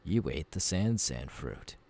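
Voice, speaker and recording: Male actor as seasoned pirate swordsman, gruff yet easygoing, heavy vocal fry, slow intimate delivery for ASMR audio roleplay. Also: rumbling low, big pitch swings, darkish tone, full character voice. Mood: amused, reassuring. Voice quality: gravelly. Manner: unhurried, addressing the listener intimately.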